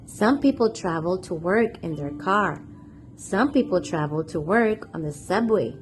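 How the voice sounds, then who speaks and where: Animated woman reading to children, unhurried. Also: lowish voice, slight background noise, poor audio. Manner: clearly paced, expressive, addresses young listeners with questions. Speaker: children's read-aloud storyteller and channel host. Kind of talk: children's picture book read-aloud.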